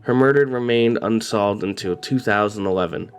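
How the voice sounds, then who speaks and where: A man hosting and narrating a true crime story in a somber, steady way, his voice slightly raspy from a cold. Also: fairly low pitch, moderate pace, soft background music. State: coming down with a cold. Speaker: host.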